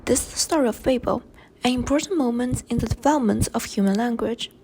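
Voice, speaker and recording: A young woman whose first language is Chinese, reading a class presentation in a careful, measured way with light breathy passages. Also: fairly high pitch, heavy background noise. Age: young adult. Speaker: student presenter. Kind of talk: read class presentation narration.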